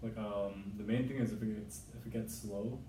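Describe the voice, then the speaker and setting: A man in a focus group speaking briefly and in a relaxed way. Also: lowish voice, normal speed, roomy sound, distant mic, a little hiss or hum, rough recording. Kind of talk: casual discussion.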